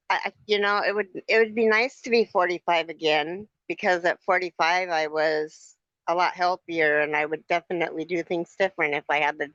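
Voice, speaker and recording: A woman in her fifties with a slightly low voice hosts a casual live chat. She rambles and corrects herself as she goes. The sound is a little dull, with the echo of a room around her. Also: a bit bright. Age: 50s. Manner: rambling, self-correcting, quick to laugh. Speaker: host of a crochet live stream.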